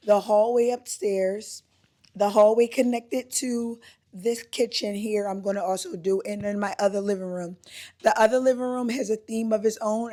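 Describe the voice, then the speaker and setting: A woman vlogging casually from her home, her voice still hoarse from a recent cold, chatty and upbeat with frequent "like" and "um". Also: medium pitch, a little mellow. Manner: chatty, upbeat, says "like" and "um" often. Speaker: lifestyle vlogger. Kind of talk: casual chat to camera. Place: at home, indoors.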